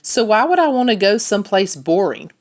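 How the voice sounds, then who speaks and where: A woman's voice: mid-range voice, big pitch swings, brisk. Woman reading as a playful, curious young babysitter. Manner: questioning, friendly.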